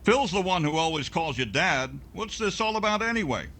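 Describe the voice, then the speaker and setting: A man's voice: sits high, lively intonation, moderate pace, sharp tone, full character voice. A man playing a cheerful, hearty announcer sidekick in a jovial 1940s radio comedy style.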